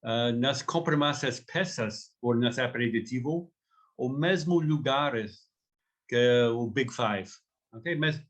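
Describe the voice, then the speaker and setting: A man from Canada, a native English speaker with a Canadian accent, talking informally as a company founder in halting, word-searching non-native speech. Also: mid-range voice.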